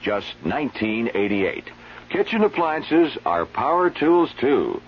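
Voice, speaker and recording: Radio ad spokesman: man, lively, varied intonation, seventies retail pitch; muffled recording. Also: slow, husky. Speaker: commercial spokesman. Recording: muffled, hissy old radio broadcast.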